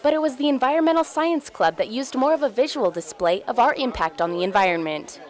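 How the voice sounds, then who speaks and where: A woman's voice: sits high, lively intonation, a little fast. A young woman delivering scripted TV news in a presentational broadcast manner, as a reporter and anchor.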